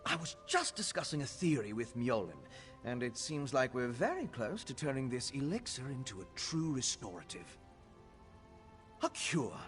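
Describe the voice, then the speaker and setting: A man's voice: medium pitch, a bit bright. An actor playing an earnest, scholarly male alchemist mage in a video game, explaining things in a learned, formal way. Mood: eager, hopeful.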